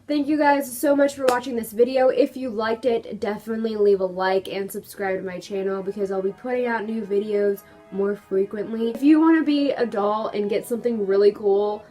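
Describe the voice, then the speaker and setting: A woman's voice: mid-range voice, fairly even intonation, a little fast, hardly any pauses, mellow, soft background music. A young woman vlogging casually from home, chatty and rambling with lots of fillers, her voice stuffed up and congested. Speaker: hair tutorial vlogger. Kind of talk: casual vlog chat.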